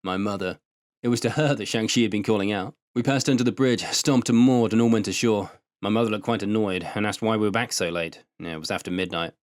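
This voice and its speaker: A man narrating a first-person short story in an expressive, conversational way. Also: on the low side, no echo, clean studio sound. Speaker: narrator and translator.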